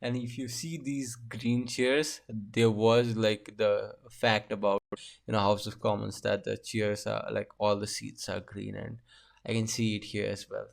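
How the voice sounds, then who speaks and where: Low gravelly man, halting reaction chat. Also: little pitch movement. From Asia. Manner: halting, with many fillers and restarts. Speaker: reaction video host. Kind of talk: casual reaction commentary.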